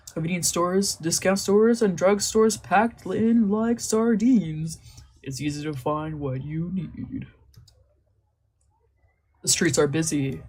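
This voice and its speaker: A woman's voice: lowish voice, darkish tone, slightly rough. A woman streaming a visual novel, reading its text aloud and joking playfully between lines, laughing and swearing casually.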